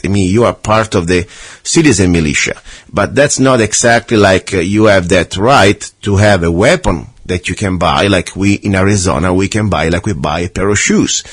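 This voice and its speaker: A man's voice: on the low side, slightly rough, dry recording. A man hosting a radio talk show, chatting casually with many restarts and fillers.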